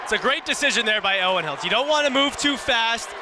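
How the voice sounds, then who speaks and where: A young man speaks as the analyst on a live outdoor radio sportscast with clear noise around him. His pitch is high for a male voice. He talks conversationally and makes his opinions emphatic. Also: expressive, quick pace. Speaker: color commentator. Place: outdoor lacrosse stadium. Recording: noisy outdoor broadcast with stadium ambience.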